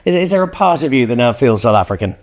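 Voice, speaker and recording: Guy hosting a wine show, playful teasing tone, mid pitched voice. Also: sing-song, fast talker. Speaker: show host. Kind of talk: interview hosting. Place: hotel room.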